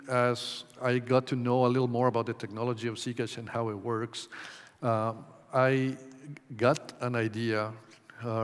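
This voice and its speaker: A man from Ecuador giving a conference talk in a measured way, with many ums and uhs. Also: medium pitch, clear, resonant tone. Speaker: conference presenter. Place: conference hall. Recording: long hall reverb.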